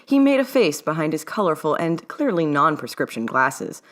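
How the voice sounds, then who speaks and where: A woman's voice: low voice, very animated, normal speed. A woman author reading her own story aloud in an old-timey mid-Atlantic accent, in the style of an audiobook. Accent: mid-Atlantic, old-timey English-leaning. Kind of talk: audiobook-style narration of fan fiction.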